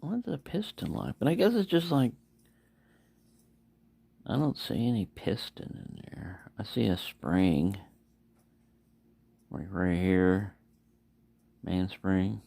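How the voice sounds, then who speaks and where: A man's voice: lowish voice, big pitch swings, takes it slowly, creaky, pauses often, no echo. A man giving a casual, unscripted product review, rambling and correcting himself as he goes. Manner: rambling, self-correcting, mumbling asides. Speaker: knife reviewer.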